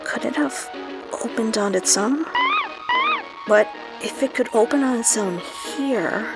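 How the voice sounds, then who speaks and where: An actress playing a lonely, troubled young woman who confides in her vlog, with a husky, emotional, halting delivery in an audio drama. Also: a little high, rough recording. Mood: puzzled, suspicious. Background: music.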